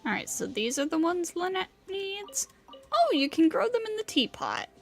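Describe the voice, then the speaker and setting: A woman's voice: very high-pitched, sing-song, normal speed, vocal fry. A woman game streamer giving casual live commentary, musing aloud to herself as she plays. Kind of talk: casual livestream gameplay commentary. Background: faint game music.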